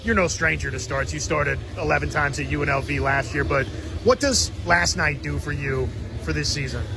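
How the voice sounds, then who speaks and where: A man's voice: fairly high pitch, normal speed, gravelly, noisy background. A man hosting a sports radio pregame show and interviewing.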